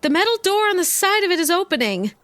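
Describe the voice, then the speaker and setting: Excitable 1930s radio heroine, high and animated. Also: female voice, fast, heavily acted. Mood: excited. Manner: excitable, wide-eyed. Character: excitable young heroine, Wilma. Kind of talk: 1930s radio drama acting.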